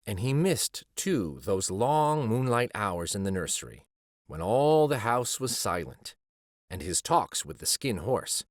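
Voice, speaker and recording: A man narrating a children's storybook aloud in a deliberate, expressive way. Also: fairly low pitch, no room sound, clean studio sound.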